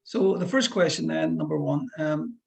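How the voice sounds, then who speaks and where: A man's voice: fairly high pitch, fairly even intonation, normal speed, vocal fry, darkish tone. A middle-aged man with a Fife Scottish accent, a driving instructor explaining things in a chatty, rambling way with many restarts. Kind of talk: one-to-one lesson over a call.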